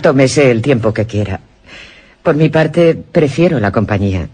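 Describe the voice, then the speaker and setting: An actor with a Castilian Spanish accent playing a calm, courteous host of a lonely castle in a radio drama. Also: male voice, medium pitch, a little slow, husky. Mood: courteous, wistful.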